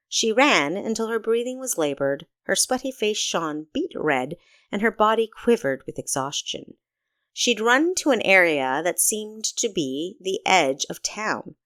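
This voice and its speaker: Expressive woman narrating fiction, lively pitch. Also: no echo, studio quality. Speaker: author narrating own audiobook.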